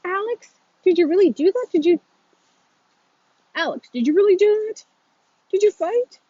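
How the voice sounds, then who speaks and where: A woman's voice: high voice, fairly even intonation, normal speed, dark tone, no room sound, dull audio, pitched above the performer's own voice, natural voice. A woman voicing a concerned father who questions and warns earnestly in a story reading. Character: concerned, admonishing father of a schoolboy.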